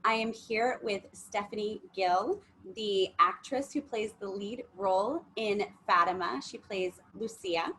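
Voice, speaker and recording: A woman's voice: mid-range voice, unhurried, a bit bright, slight background noise, rough recording. A woman working as an editor, interviewing an actress with polite, enthusiastic questions.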